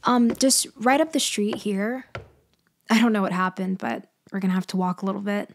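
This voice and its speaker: A woman playing a cunning female mafia leader in an ASMR roleplay, speaking softly and hesitantly. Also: mid-range voice, fairly even intonation, normal speed, no room sound, natural voice. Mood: feigned helplessness. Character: cunning female mafia leader feigning a helpless stranded motorist.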